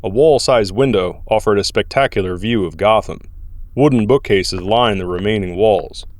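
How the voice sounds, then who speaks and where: A man narrates a story in a fairly low voice. His delivery is scripted, descriptive storytelling in the third person. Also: moderate pace, no room sound.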